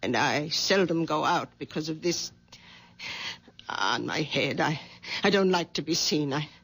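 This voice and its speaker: A shy, halting old widow. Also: female voice, deep voice, animated, slightly rough, full character voice. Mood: timid. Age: older. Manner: timid, halting, apologetic. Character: shy, sensitive older widow aunt. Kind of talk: 1970s radio drama acting.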